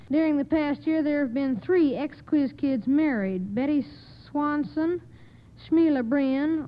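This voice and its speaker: A young American woman reading a prepared report on 1950s radio in a measured, formal way. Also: high voice. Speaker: quiz show panelist. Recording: dull vintage 1950 radio recording.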